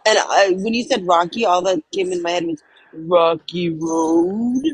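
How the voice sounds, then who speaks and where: A woman co-hosting a casual livestream, hoarse and raspy from a day on the phone, telling relaxed stories. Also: on the low side, unhurried, no room sound, low-quality recording. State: hoarse from talking on the phone at work. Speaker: livestream co-host.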